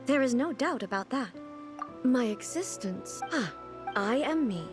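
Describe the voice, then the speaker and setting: An actress playing a solemn, dignified female ruler in a video game, speaking formally and deliberately, earnestly puzzled by the modern world. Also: on the high side, lively intonation. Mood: wondering, pensive. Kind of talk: video game character dialogue. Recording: music behind the voice. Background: game music.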